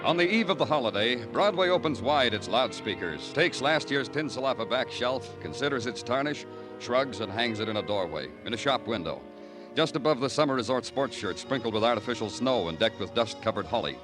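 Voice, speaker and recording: An actor playing a brooding New York homicide detective in a 1950 radio crime drama, terse and wryly poetic. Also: male voice, medium pitch, lively intonation, a little fast, music in the background, natural voice. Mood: wry, bitter.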